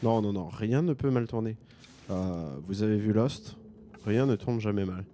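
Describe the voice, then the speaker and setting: A man's voice: fairly low pitch, normal speed, noisy background. A man with a French accent commenting a game he plays, joking and thinking aloud. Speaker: gaming streamer. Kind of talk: let's play commentary.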